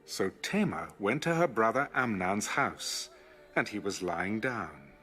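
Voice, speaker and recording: A man's voice: lowish voice, very animated, unhurried, fairly bright tone, faint music behind. A man giving a dramatic scripted narration of scripture for an audio Bible.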